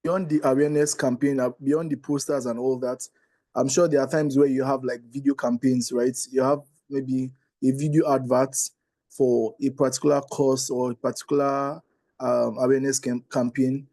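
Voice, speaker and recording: A man with a Nigerian accent and a soft, relaxed tone reports back from a workshop he helped lead. He talks conversationally and often tags his points with small checking questions. The sound is dry. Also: mid-range voice, moderate pace, no room sound. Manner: conversational, often adding "right?" and "like". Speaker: workshop breakout facilitator. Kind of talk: informal spoken session report.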